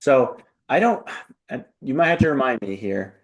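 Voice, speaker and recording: A man's voice: mid-range voice, animated, moderate pace, rough recording. Upbeat man hosting a casual interview. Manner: encouraging, informal, buddy-like. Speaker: interview host and application coach.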